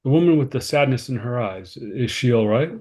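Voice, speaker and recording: An actor reading a reserved, uneasy American man at a screenplay table read, hesitant and understated, with a creaky voice. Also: medium pitch, expressive, a little fast, mellow, lightly acted. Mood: curious, miffed. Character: David, reserved, uneasy, self-deprecating American male cousin.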